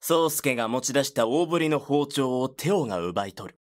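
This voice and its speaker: Male actor as detached narrator, grave, scripted horror drama, average pitch. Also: expressive, normal speed, lightly acted.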